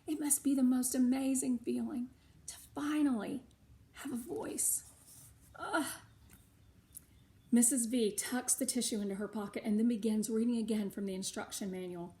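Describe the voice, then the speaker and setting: A middle-aged or older woman reading a storybook aloud to schoolchildren, animated, with chatty asides of her own. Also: on the high side, normal speed. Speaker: read-aloud host for schoolchildren.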